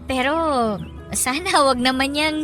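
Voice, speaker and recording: A woman's voice: high-pitched, steady tone, unhurried, pushed and bright, faint music behind. A woman playing an anxious young wife and mother in a radio drama, speaking in a hesitant, plaintive way.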